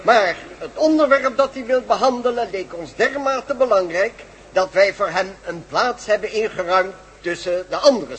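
A man's voice: high-pitched, slightly rough. An actor playing a stuffy, sceptical male professor in a radio play, with a pompous, fussy manner. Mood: pompous, formal. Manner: pompous, fussy, hesitant stammering.

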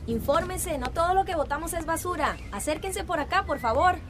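A woman's voice: very high-pitched, expressive, a little fast, a bit bright, faint music behind. An actress playing a friendly young woman volunteer handing out recycling flyers in a radio drama. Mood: upbeat.

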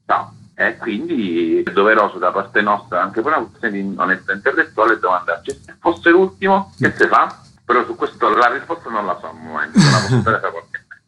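A man's voice: fairly low pitch, expressive, a little fast, bright, forceful tone, slightly rough, poor audio. A man giving earnest, articulate, explanatory answers as a guest in a radio interview. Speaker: artistic director of a theatre festival, interview guest.

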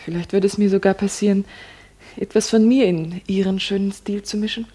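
A woman's voice: medium pitch, steady tone, a little fast, warm tone, breathy edge, lightly acted. A woman acting a young, devoted and curious secretary in a German radio play, coy and playful. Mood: coy, candid.

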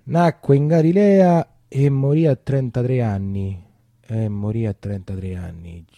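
A man's voice: mid-range voice, measured pace, warm tone, dry recording, lo-fi sound. A man with a Roman accent acting a theatrical storytelling monologue in a colloquial, confiding way. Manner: colloquial, confiding, repeats phrases. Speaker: stage actor.

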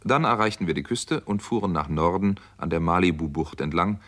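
A sceptical doctor at the centre of a 1960s radio whodunit. His voice sits fairly low. He moves between dry narration and wry dialogue, always crisp and matter-of-fact. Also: expressive, fairly quick, fairly bright tone.

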